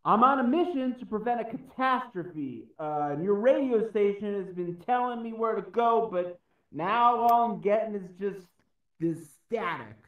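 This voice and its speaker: A man's voice: high-pitched, moderate pace, natural voice. An actor playing an anxious, pleading, well-meaning everyman who rambles earnestly and hesitantly in a game radio drama. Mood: urgent, pleading. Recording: echoey, distant, muffled.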